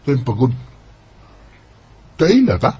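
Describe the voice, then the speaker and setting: A man's voice: medium pitch, wildly swooping pitch, measured pace, dark tone, a little hiss or hum. A man with a Cebuano Filipino accent playing a cocky, evasive young bachelor in an amateur radio drama. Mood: confused, defensive.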